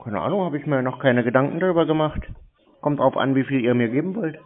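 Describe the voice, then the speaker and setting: A man's voice: mid-range voice, expressive. A man in a casual phone conversation, speaking tersely and offhandedly as he plays dumb, over a narrow telephone line. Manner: terse, deliberately obtuse, offhand. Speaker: prank call recipient playing along.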